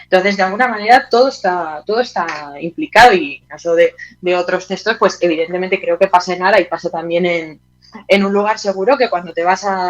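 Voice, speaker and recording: Woman from Spain, colloquial, slightly lo-fi sound. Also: on the low side. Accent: Spanish from Spain. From Teruel, Spain. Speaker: interview guest, playwright. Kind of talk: casual interview talk.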